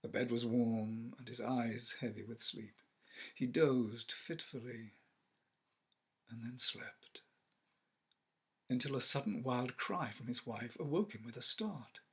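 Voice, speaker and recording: Husky, slow, dramatic male storyteller. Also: mid-range voice. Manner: measured, theatrical, with hushed phrase endings. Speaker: actor reading a short story aloud. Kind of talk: dramatic literary narration.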